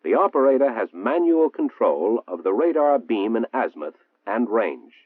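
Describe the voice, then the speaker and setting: An American man reading a 1960s military training film narration in a formal, measured, precisely enunciated manner. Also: mid-range voice, very animated. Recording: muffled, roomy 1960s film soundtrack, phone-like.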